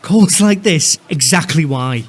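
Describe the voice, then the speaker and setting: A man's voice: fairly high pitch, animated, measured pace, slightly rough. A man playing a furious, protective father in an audio drama, confrontational and sweary as he disowns his son.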